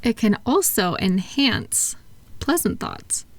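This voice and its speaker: A woman playing a kind therapist in a comfort audio roleplay, speaking softly and gently, reassuring and encouraging her patient without hurry. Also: a little high, very animated, takes it slowly, no room sound, full character voice. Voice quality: soft-spoken. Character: kind, soothing woman therapist guiding a patient with futuristic tablet.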